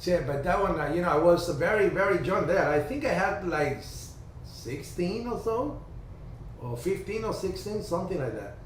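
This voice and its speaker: Male host, storyteller, slightly high voice, roomy video-call sound. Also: expressive. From Cuba. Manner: chatty storyteller, halting restarts. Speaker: ham radio livestream host. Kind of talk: casual group video chat. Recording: distant roomy video-call audio, faint hiss.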